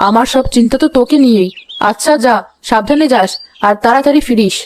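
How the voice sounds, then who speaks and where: Woman playing a high-pitched, suspicious, melodramatic mother-in-law. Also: fast, slight background noise, close to an everyday voice. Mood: worried, fussy. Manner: dramatic, alarmed, accusatory. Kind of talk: Bengali cartoon comedy acting.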